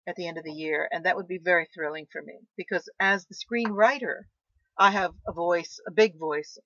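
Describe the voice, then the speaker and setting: A woman guest talking about her screenplay and novel in an enthusiastic, animated way. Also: sits low, dry recording. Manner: enthusiastic, talks with frequent restarts. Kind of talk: animated interview conversation. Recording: dull lo-fi dry sound.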